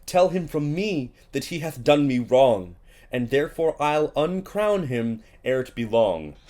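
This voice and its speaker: A man's voice: fairly high pitch, lively intonation, a little slow, darkish tone. A man acting a dutiful royal messenger who reports formally and carefully in a Shakespearean audio drama. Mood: formal, reporting.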